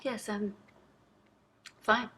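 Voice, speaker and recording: A woman's voice: mid-range voice, little pitch movement, extremely slow, sharp tone, breathy edge, exaggerated voice. A woman acting a wealthy, restrained wife with a brittle, clipped politeness in a play reading. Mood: subdued. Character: wealthy, restrained, quietly bitter wife named Betsy. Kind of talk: staged play reading over video call.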